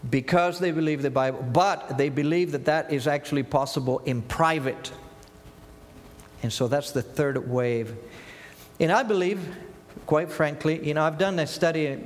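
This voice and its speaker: Casting: Argentine-accented male preacher, fifties, slightly raised pitch, earnest, answers his own rhetorical questions, long hall reverb. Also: normal speed. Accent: Argentine Spanish. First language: Spanish. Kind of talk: sermon.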